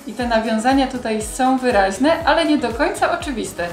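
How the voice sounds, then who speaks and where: Female interiors host, scripted polished read, slightly high voice, light music bed. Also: expressive, normal speed. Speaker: host of a home interiors show. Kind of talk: scripted voice-over and interview questions.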